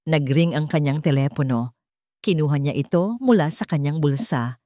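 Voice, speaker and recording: A woman storyteller with a slightly low voice reads scripted fiction. Her narration is dramatic, and the recording is very dry. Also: moderate pace, warm tone, dry recording.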